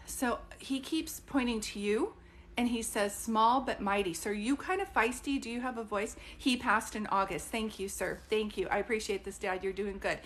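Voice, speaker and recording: Chatty higher-voiced woman giving readings. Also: a bit bright, a little hiss or hum. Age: middle-aged. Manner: chatty, thinks aloud, stops to restart words. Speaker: psychic medium. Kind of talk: live-streamed reading, casual chat.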